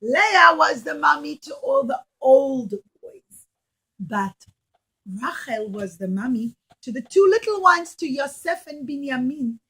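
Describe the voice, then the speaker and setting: A woman teaching as an animated storyteller for children, speaking simply and emphatically and putting questions to her listeners. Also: sits high, low-quality recording.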